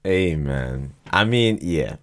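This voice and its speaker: Deep-voiced man, hesitant podcast guest. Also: animated, slow, a bit bright. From Ghana. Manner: hesitant, repeats words, modest. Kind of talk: casual interview chat.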